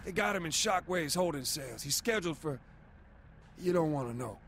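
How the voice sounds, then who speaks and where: A man playing a cool, easygoing robot soldier in a video game, slangy and quick with wisecracks. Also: a little high. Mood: breezy. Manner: slangy, laid-back, lively. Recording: clearly noisy game-scene background.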